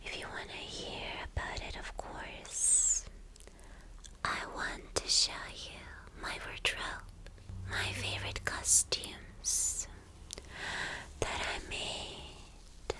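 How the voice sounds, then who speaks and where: Woman acting as vain villain girlfriend, asmr roleplay, whispers real slow, obsessive and breathy. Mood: doting, obsessive. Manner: slow, breathy, lingering, repeating phrases.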